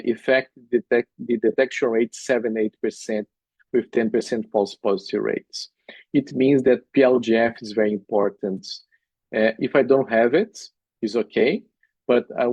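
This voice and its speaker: A man's voice: mid-range voice, a little mellow, husky, dry recording, low-quality recording. A middle-aged man from Brazil with a Brazilian Portuguese accent, giving an earnest medical presentation in non-native phrasing with frequent "uh" fillers. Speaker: obstetric specialist presenting at a workshop. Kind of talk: conference presentation with slides.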